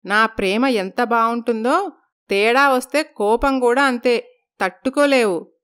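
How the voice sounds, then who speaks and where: A woman voicing a stern, commanding middle-aged mother-in-law who admonishes with both affection and threat in an animated story. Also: fairly high pitch, measured pace, clear, resonant tone. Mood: stern warning.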